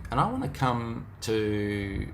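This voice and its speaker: A man's voice: on the low side, sing-song, slow pace, fairly bright tone, slight background noise. A middle-aged man with a New Zealand accent giving an informal motivational talk, reflective and speaking straight to the listener.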